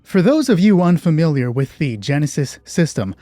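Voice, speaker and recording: Mellow-voiced man narrating a tabletop game. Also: fairly high pitch, big pitch swings, normal speed, no echo, faint music behind. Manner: conversational, thinking aloud with self-deprecating asides. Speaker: solo roleplay game master and host. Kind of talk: narrating a tabletop roleplaying game, explaining rules.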